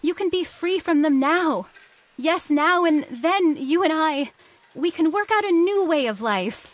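High female voice, actress as young idealistic heiress, urgent, pleading, theatrical old-time radio drama. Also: expressive, a little slow. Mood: earnest pleading. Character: impassioned idealistic young heiress of island factory.

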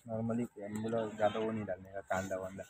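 A man's voice: on the low side, flat delivery, quick pace, darkish tone, slightly rough, no echo. A young man, answering briefly in an offhand way while outdoors at a cricket ground.

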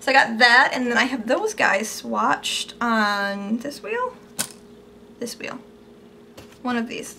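A young woman with a high, bright voice talks eagerly and chattily about things she bought, filmed close to the camera in a small room at home. Her voice has gone a little squeaky from overuse, and a faint hum of room tone sits behind her. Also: animated, slow pace, gravelly, long pauses. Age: young adult. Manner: chatty, upbeat, gushing about products. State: losing her voice, slightly squeaky. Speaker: beauty vlogger. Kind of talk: casual haul video.

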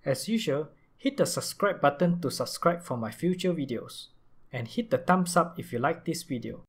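A man's voice: on the high side, animated, moderate pace, slightly rough. A man narrating a scripted gaming tutorial as a video creator.

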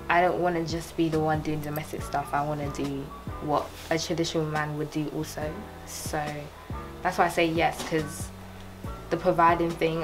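A woman's voice: sits low, poor audio. A young woman with a British accent, chatting casually as a contestant on a dating show. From Ghana. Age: young adult. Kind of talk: casual chat. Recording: music playing behind the voice.

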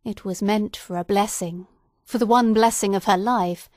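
A woman's voice: medium pitch, fairly even intonation, normal speed, a little mellow, no room sound, clean studio sound, lightly acted. A woman reading the part of a nervous young male clergyman who speaks earnestly and solemnly.

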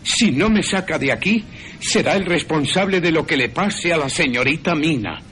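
A man's voice: a little high, lively intonation, normal speed, fairly bright tone, slight background noise, pitched above the performer's own voice. A man voicing a frantic, desperate madman who serves a vampire, in a radio drama. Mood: agitated warning. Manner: desperate, agitated, pleading. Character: frantic, pleading madman asylum inmate, servant of a vampire.

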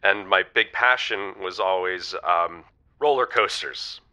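A man's voice: low-pitched, measured pace, pushed and bright, clean tone, full character voice. An actor voicing an earnest, remorseful lovelorn man who calls a radio show, hesitant and confessional. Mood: rueful, confessional. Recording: slightly muffled phone call.

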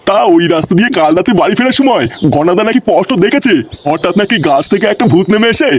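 An excitable, scolding Bengali cartoon villager, acted by a man, pitched extremely high. The words tumble out at a frantic speed in crude, agitated village slang, with faint noise on the recording. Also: fast talker. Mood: excited, gossipy.

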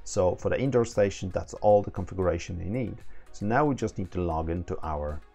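Man, 30s, creaky low voice, casual hands-on demonstrator talking through steps, faint music behind. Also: fairly quick, dark tone. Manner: informal, hands-on, step-by-step explaining. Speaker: product demonstrator. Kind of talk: casual tutorial, explaining setup steps.

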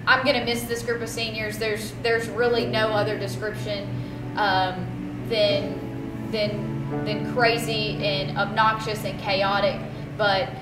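Female drama teacher, casual reflective interview, halting delivery, roomy sound under loud music. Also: medium pitch, little pitch movement. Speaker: high school theater teacher. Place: seated on a dark theater stage. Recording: roomy, distant mic, loud music behind.

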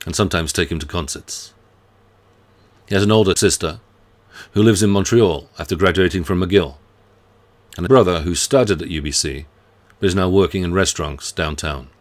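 Deep-voiced professor introducing himself. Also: male voice. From United Kingdom. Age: middle-aged. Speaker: university professor. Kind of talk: scripted self-introduction to students. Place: home study lined with bookshelves.

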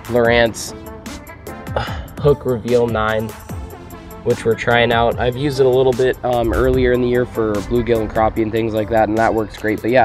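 Young American male vlogger, lowish hoarse voice, casual outdoor delivery, music behind. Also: darkish tone. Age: young adult. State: hoarse from a cold, losing his voice. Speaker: fishing vlogger. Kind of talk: casual vlog chat. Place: outdoors on a small boat on a lake.

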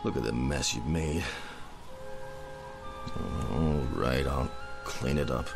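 A man's voice: a bass voice, takes it slowly, creaky, over loud music, full character voice. An actor playing a tipsy, jolly down-and-out man as Santa Claus, effusive and theatrical, in a radio drama. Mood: muttering, wary.

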